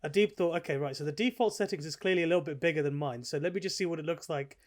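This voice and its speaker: A man's voice: fairly high pitch, racing, darkish tone. A man co-hosting a gaming podcast, chatting casually with teasing banter and plenty of swearing. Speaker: podcast host.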